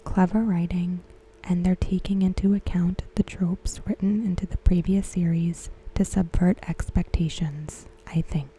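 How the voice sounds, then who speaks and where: A woman giving ASMR commentary in a hushed, gentle, breathy, whispery voice. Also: fairly low pitch, fairly even intonation, a little hiss or hum, low-quality recording. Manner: hushed, gentle, unhurried delivery. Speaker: ASMR content creator reviewing a TV episode. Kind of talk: ASMR soft-spoken commentary.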